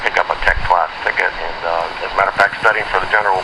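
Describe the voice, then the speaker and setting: A man in his forties talking casually over amateur radio in a halting, rambling way. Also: low-pitched, fairly even intonation. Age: 40s. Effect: amateur radio transmission. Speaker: Navy electronics technician and newly licensed radio amateur. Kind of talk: casual ham radio conversation. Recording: very noisy radio link with loud roar.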